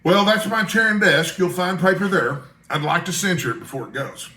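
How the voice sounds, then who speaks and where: A man's voice: high-pitched, expressive, a little fast, pushed and bright, reverberant. A man voicing a blunt, detached, gossipy bacteriologist in an audiobook, with a dry, wry, bookish manner. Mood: wary. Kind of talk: audiobook character dialogue.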